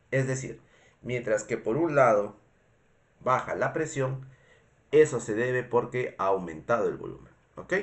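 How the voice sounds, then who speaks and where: Congested Peruvian man teaching. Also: mid-range voice. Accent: Peruvian Spanish. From Peru. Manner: didactic, repetitive, frequent checking questions. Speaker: medical instructor. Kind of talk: informal explanatory lecture.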